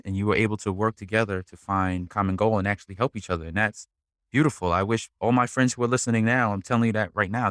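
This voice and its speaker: A man's voice: lowish voice, a bit bright, no echo, clean studio sound. A man hosting a podcast, chatting casually and informally, affirming and restating points as he goes. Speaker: podcast host. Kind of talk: casual interview chat.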